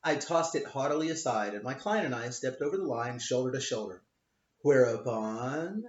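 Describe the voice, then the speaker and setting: A man, a lawyer, reading his own book aloud in a wry, offhand way, stressing the punchlines. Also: mid-range voice. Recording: roomy, dull lo-fi home recording.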